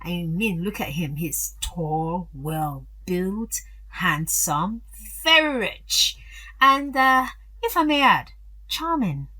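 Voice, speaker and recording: An actress playing a wealthy, gracious older aunt in an audio drama, chatty and confiding, with a hesitant, deliberate delivery. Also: female voice, fairly low pitch, expressive, sharp tone, full character voice. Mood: emphatic, fond.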